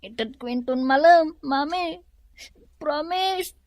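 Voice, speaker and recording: A woman's voice: high voice, takes it slowly, dry recording, studio quality. A woman with an Ilocano accent playing a pleading young schoolgirl in a radio drama.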